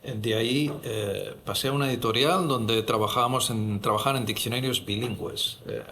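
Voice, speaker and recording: A man from Catalonia speaking with a Catalan accent on a university panel. He is a translation professor who explains at a measured pace with a light, self-mocking touch. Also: medium pitch, pushed and bright, a little hiss or hum. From Catalonia, Spain. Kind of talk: panel talk. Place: university auditorium.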